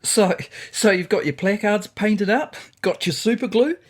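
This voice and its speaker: A woman's voice: mid-range voice, measured pace, voice pushed up, natural voice. A woman voicing a pompous, opinionated male cat in a storybook, talking with mocking, derisive amusement.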